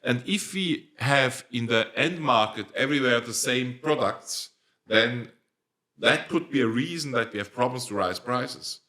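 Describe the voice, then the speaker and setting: A man with a Swiss German accent, native in Swiss dialect, speaking English haltingly in an interview, often restarting and searching for words. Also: medium pitch, pushed and bright. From Switzerland. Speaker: nursery company owner. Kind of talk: conversational interview.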